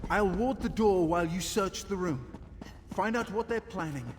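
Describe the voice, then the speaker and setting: A man playing a helpful, excitable adventuring ally in a fantasy video game. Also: sits high, normal speed, background noise, lightly acted. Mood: eager, urgent. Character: male amulet-wearing ally, alarmed but helpful conspirator. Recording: long reverb, music underneath.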